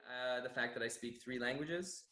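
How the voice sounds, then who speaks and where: A man's voice: medium pitch, moderate pace, bright, forceful tone, reverberant. A young Canadian man whose first language is Farsi, a gym general manager answering interview questions in an earnest, reflective way with many restarts. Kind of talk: staff profile interview answers.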